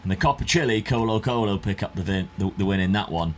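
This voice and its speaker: A man's voice: fairly low pitch, fast talker, slight background noise. A man hosting a football management game video in an informal, conversational way. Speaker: gaming video host. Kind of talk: scripted narration and casual chat over a football management game.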